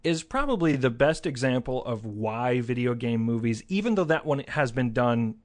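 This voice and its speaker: A man with a mid-pitched voice talks casually on a film podcast. He thinks aloud, often repeating words and halting as he shapes his point. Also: animated, a little mellow.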